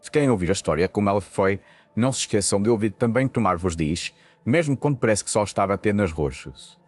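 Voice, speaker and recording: Man acting weary, low-voiced old lighthouse keeper. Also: animated, fast. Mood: solemn warning. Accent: European Portuguese. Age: older. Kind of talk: audio ghost story acting.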